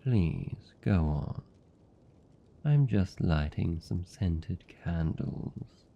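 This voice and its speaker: Casting: a man to play a menacing yet tender British vampire, extremely unhurried, slightly muffled sound, teasing intimate ASMR delivery. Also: lively intonation, heavily acted. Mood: calm, sly. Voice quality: gravelly, dark, low. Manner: slow, hushed, deliberate, teasing. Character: seductive possessive male vampire captor, tender yet menacing. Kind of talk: intimate ASMR fantasy roleplay.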